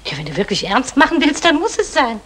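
High-voiced meddling mother-in-law. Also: very animated, fast, fairly bright tone. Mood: brisk, knowing. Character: meddling, matter-of-fact middle-aged mother-in-law. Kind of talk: 1960 German crime radio drama acting. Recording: vintage radio drama, clicks, faint noise.

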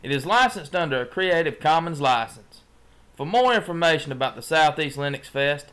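Male announcer reading the video intro, steady and even, smooth resonant tone. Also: on the high side. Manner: plain, measured reading. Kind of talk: scripted voice-over.

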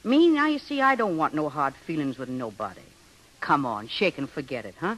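An older waterfront woman, brash and good-hearted. Her slangy speech swings widely in pitch with a bright, forceful edge, in 1930s radio style. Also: big pitch swings, normal speed, slight background noise, low-quality recording. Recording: vintage 1930s radio broadcast.

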